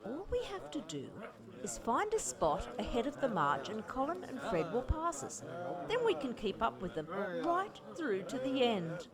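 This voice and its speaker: Woman playing animated wartime mother, crowd behind. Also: on the high side. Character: caring, practical wartime mother of grown children, organising family. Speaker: radio play actor. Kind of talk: amateur radio play dialogue.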